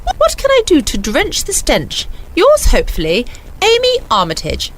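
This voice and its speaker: Woman as an exasperated wife, animated. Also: high voice, normal speed. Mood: fond exasperation. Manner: chatty, confiding, complaining. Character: down-to-earth working-class wife, fondly exasperated. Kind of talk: reading a comic letter in radio comedy.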